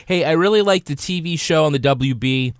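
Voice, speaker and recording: A man's voice: fairly high pitch, brisk, no echo. Man chatting on a dry-sounding podcast. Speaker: podcast panelist. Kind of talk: casual panel chat.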